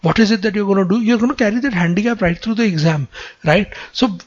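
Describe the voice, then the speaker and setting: A man's voice: high voice, fast talker, muffled recording. A man with an Indian accent giving conversational exam-prep advice as a mentor, emphatic and repetitive, often checking in with short tag questions. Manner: emphatic, repetitive phrasing with frequent "right?" tags.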